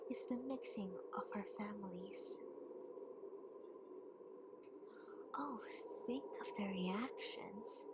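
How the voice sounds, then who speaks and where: A haughty vampire queen, played by a woman in an ASMR roleplay, with a fairly high, breathy voice that often sinks to a half-whisper. She draws her words out slowly, taunting with mock politeness. Heavy noise sits behind the voice. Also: lightly acted. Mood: smug, taunting. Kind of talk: ASMR roleplay, scripted monologue.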